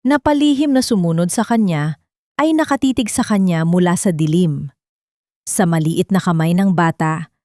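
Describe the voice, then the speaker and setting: A woman's voice: fairly low pitch, warm tone, no room sound, studio quality. A woman reading a dramatic scripted story as an audiobook narrator.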